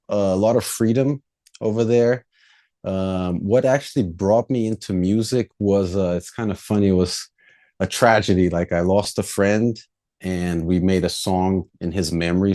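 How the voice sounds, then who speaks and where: A young man from Brazil, a musician guest on an interview podcast, talking in a relaxed, reflective way and laughing easily. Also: deep voice, moderate pace. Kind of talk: casual interview chat.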